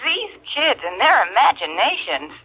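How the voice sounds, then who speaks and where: An actress playing a chatty, breezy housewife gossiping with a friend in 1950s radio acting style. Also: female voice, on the high side, very animated, moderate pace, very noisy. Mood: chatty, amused.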